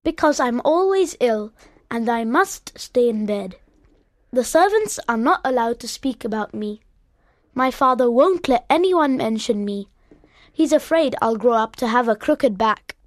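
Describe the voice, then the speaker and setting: Woman voicing a sickly, high-pitched imperious young boy. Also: expressive, a little slow, no room sound, clean studio sound. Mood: self-pitying. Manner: self-pitying, demanding. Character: sickly, lonely, imperious ten-year-old boy. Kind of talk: storybook character reading.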